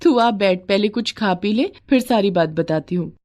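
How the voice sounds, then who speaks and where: A woman voicing a caring, devout wife of a poor street vendor, reassuring and gentle in a story. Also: medium pitch, animated, moderate pace, natural voice. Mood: welcoming.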